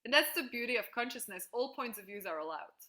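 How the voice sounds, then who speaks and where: Bright-voiced woman leading a video call. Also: a little high, animated, fast talker. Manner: enthusiastic, frequent "like", laughs easily. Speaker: workshop facilitator. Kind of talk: casual group call coaching.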